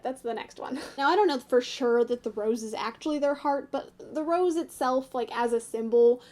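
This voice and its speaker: Soft-toned, high-voiced young North American woman. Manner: chatty, giggly, tentative, thinking aloud. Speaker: YouTube host giving fan commentary. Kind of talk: casual analysis chat to viewers.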